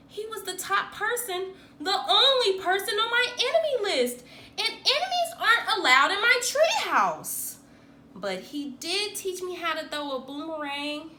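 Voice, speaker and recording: A woman reading a children's picture book aloud in an expressive, theatrical way, like a teacher acting it out for young pupils. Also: very high voice, slight background noise. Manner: theatrical, acts out the dialogue.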